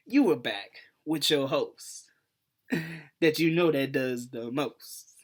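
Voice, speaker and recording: A man in his twenties with an American accent, hosting a casual storytelling vlog in an animated, playful, slangy way. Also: medium pitch, takes it slowly. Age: 20s. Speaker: YouTube host.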